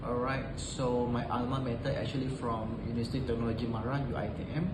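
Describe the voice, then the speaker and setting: A man's voice: mid-range voice, level tone, fast talker. A man in his thirties from Malaysia, whose first language is Malay, speaking English in an interview and often saying "all right" and "okay". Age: 30s. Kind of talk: self-introduction and interview answers. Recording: echoey room with background noise.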